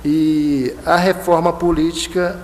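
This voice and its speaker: A man's voice: on the high side, takes it slowly. A man from the Minas Gerais and Bahia border with that regional Brazilian accent, an electoral prosecutor arguing emphatically in a lecture-hall debate. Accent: Brazilian Portuguese, Minas–Bahia border. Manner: emphatic, animated, bursts of rising indignation. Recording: long hall reverb, faint noise.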